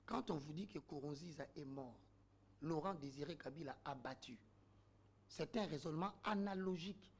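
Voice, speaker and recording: A man's voice: a little high, moderate pace, slightly rough. A man, arguing his point as a guest in a heated panel debate, oratorical and full of rhetorical questions.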